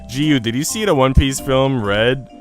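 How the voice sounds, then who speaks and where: A man's voice: medium pitch, normal speed, faint music behind. A young man, rambling informally as he livestreams a visual novel, switching between slangy chat and reading aloud.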